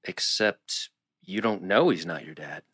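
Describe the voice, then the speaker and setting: A man's voice: low voice, expressive, a little slow, fairly bright tone, breathy edge, dry recording, heavily acted. A man playing an earnest, supportive, understated teenage boy in a TV teen drama table read. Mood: earnest, concerned.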